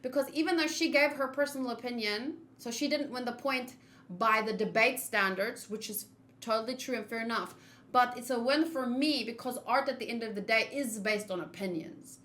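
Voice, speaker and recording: Woman, game streamer, casual commentary, chatty, opinionated, fairly high voice. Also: moderate pace, a bit bright.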